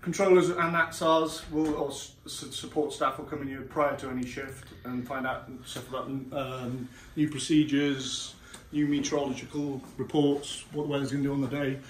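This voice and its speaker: A man's voice: mid-range voice, level tone, moderate pace. A middle-aged man giving an informal guided tour, explaining things politely. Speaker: air traffic services manager, tour guide. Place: small office rooms and stairwells. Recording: roomy, distant mic, clearly noisy.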